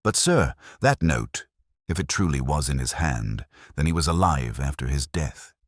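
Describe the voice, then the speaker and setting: A man's voice: extremely low pitch, sing-song, normal speed, no room sound, studio quality, heavily acted. A man voicing an earnest, credulous police sergeant in a detective story, plain-spoken and questioning. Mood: puzzled, aghast.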